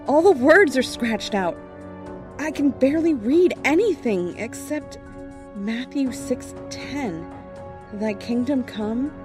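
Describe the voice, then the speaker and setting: An actress playing a practical, impatient young woman in an audio horror drama, matter-of-fact and wry. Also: on the high side.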